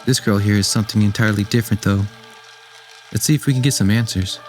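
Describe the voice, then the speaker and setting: A man's voice: on the low side, steady tone, fast, a little mellow, faint music behind, close to an everyday voice. A man playing a tender, smitten robot mechanic and kindly uncle in a radio drama. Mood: fascinated.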